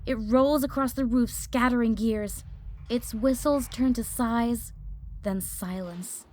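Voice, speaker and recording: A woman's voice: fairly high pitch, takes it slowly, a little mellow, slight background noise. A woman playing a hot-headed young female fire mage, narrating an audio drama in the first person with intense, clipped phrases. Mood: pained, struggling.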